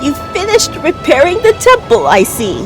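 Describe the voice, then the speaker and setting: A woman's voice: high voice, wildly swooping pitch, normal speed, over music. A woman voicing a kindly old spirit guide who stutters and repeats words with grandiose cheer in a video game. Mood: grandly pleased.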